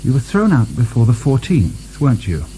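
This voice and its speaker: A man's voice: mid-range voice, huge pitch swings, normal speed, mellow, a little hiss or hum, poor audio. A man acting in a radio drama as a weary, wry imprisoned French nobleman who speaks in a musing, hesitant way. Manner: hesitant, musing, wryly ironic.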